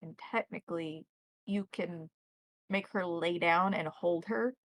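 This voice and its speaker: A woman's voice: lowish voice, slow, dry recording. A woman narrating a tabletop roleplaying game as game master, checking back with her players as she goes.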